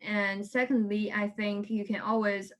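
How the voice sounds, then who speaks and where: A woman's voice: mid-range voice, steady tone, unhurried. A woman in her twenties from China, answering interview questions directly with frequent ums. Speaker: guest.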